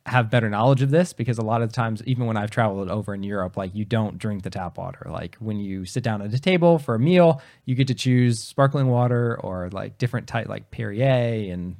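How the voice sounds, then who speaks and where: A man's voice: fairly low pitch, fairly quick, few pauses, a little mellow. A man co-hosting a podcast in casual chat, explaining things step by step, his voice just recovering after he lost it. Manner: explanatory, many fillers and restarts. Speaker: podcast co-host.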